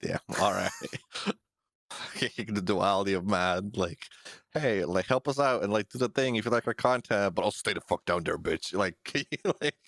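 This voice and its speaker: Male podcast co-host with a very low, dry voice. Casual, crude and joking. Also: expressive, normal speed, a bit bright, no echo. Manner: profane, joking, laughs often. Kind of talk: casual chat.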